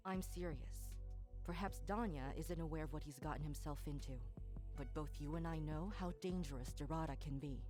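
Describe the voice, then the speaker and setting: A woman's voice: low voice, brisk, mellow, faint music behind, lightly acted. A woman voicing a serious, protective woman who speaks bluntly and earnestly about her pilot in a visual novel. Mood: stern.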